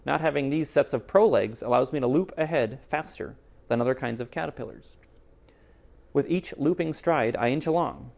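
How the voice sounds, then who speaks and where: A man reads as a proud little inchworm from a storybook. The voice has a creaky edge and explains things precisely, with calm self-assurance. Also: mid-range voice, moderate pace, natural voice. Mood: proud, matter-of-fact. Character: proud, precise inchworm caterpillar fond of measuring. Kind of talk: children's picture book character reading.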